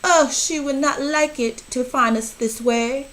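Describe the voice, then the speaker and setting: A woman's voice: sits high, measured pace, a bit bright, voice pushed up, low-quality recording. A woman voicing a fretful, scolding storybook fish in an urgent, exclaiming manner. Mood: panicked.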